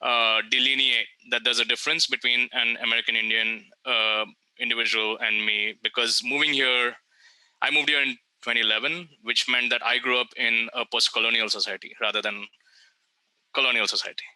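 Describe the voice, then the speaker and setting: Bright, crisp-voiced man panelist. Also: medium pitch, level tone, a little fast, dry recording, poor audio. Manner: reflective, hesitant. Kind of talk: answering on a video call panel. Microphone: video call.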